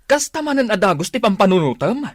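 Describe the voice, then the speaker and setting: A man's voice: high voice, very animated, quick pace, no room sound, clean studio sound. A man playing an exasperated, pleading husband in a radio melodrama, with an Ilocano accent. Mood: defensive. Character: exasperated husband worn down by jealous wife. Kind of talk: melodramatic radio drama acting.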